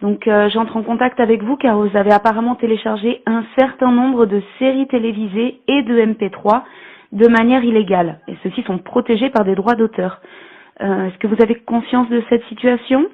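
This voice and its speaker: A woman's voice: on the high side, steady tone, moderate pace, lightly acted. A woman playing a polite, officious law-firm agent, speaking formally in a prank phone call. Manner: formal, courteous, businesslike. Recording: tinny, narrow phone line.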